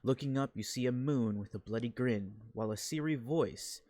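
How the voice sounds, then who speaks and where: A man's voice: mid-range voice, normal speed, warm tone, no echo, clean studio sound. Casual, rambling male show host. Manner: loose and rambling, with many fillers and restarts. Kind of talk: casual online interview with a role-played intro.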